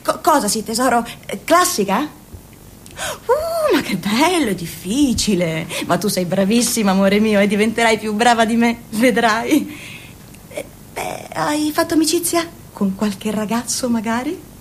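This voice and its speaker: A woman's voice: fairly high pitch, sing-song, measured pace, slight background noise. An actress playing an overbearing mother who turns from bitter scolding to doting, in a radio play. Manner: dramatic, swings from scolding to cooing. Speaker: mother of two grown children.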